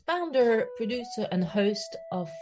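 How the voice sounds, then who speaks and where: A woman's voice: on the high side, lively intonation, unhurried, music in the background. A woman hosting her podcast in casual interview style, speaking hesitantly with many fillers and restarts. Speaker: podcast host. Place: home in London.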